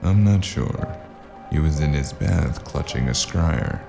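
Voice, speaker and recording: A weary noir investigator with an extremely deep voice full of gravel. He speaks in a dry, sardonic deadpan, as in a radio detective drama. Music plays clearly behind him. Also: slow, heavily acted. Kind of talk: noir audio drama acting and first-person narration.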